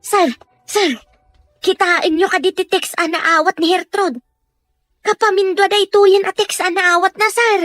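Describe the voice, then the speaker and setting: High, urgent Filipino housemaid played by a woman. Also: steady tone, unhurried, a bit bright, no echo, faint music behind. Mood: alarmed. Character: alarmed, urgent older-sister figure on a mansion staff. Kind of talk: Ilocano audio drama acting.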